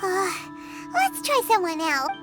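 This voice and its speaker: A woman's voice: very high-pitched, big pitch swings, takes it slowly, exaggerated voice. A woman voicing a chirpy, bossy little fairy girl companion in a video game, with music behind the voice. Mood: miffed. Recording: loud background music. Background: loud music.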